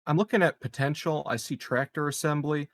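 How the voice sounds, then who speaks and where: A man's voice: on the high side, steady tone, a little fast, no echo, studio quality. A man playing a tabletop game, rambling in casual chat with lots of "like" and "you know" and some swearing. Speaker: player.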